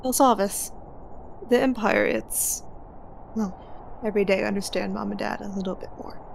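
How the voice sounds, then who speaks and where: A woman playing a cool, sardonic young operative and teasing sister in a sci-fi audio drama, with a deadpan delivery. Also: mid-range voice, moderate pace, creaky, noisy background, close to an everyday voice. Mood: cool, assured.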